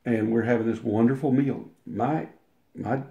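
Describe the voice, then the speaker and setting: A man's voice: mid-range voice, fairly even intonation, moderate pace, creaky, dark tone, dull audio. An older man telling a long personal anecdote as an interview guest, rambling with restarts and acting out the quotes. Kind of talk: casual storytelling. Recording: roomy echo.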